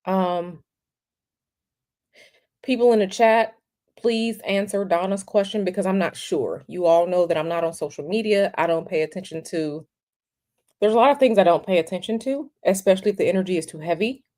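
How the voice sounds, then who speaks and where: A woman hosting a tarot livestream, talking casually in a halting, repetitive way with many fillers, sniffly from a cold. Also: on the low side, dark tone.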